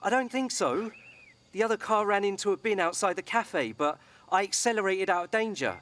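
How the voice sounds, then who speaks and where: High-voiced sheepish young lad. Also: male voice, lively intonation, a little fast, a bit bright. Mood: worried, evasive. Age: young adult. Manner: evasive, sheepish. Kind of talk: radio soap acting.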